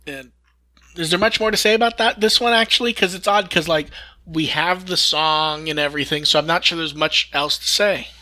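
A man's voice: fairly high pitch, moderate pace, pushed and bright. A man hosting a podcast, going through news in a casual discussion style. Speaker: podcast host.

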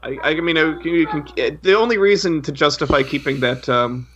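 A man's voice: a little high, normal speed, a bit bright, lo-fi sound, a little hiss or hum. A man chatting casually as a co-host on a podcast. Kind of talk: casual chat.

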